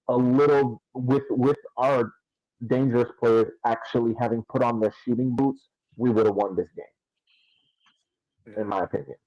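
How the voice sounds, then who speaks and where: A man co-hosting a podcast, giving casual soccer analysis in a halting way and repeating words as he thinks. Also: medium pitch, warm tone, dry recording, muffled recording. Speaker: podcast co-host.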